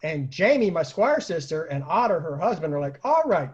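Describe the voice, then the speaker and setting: A man with a husky voice tells comic personal anecdotes in a casual, animated way, acting out the lines people said. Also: on the high side, normal speed. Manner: animated, acts out quoted lines, laughs at own stories. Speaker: storyteller, online show host. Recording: dull lo-fi home recording.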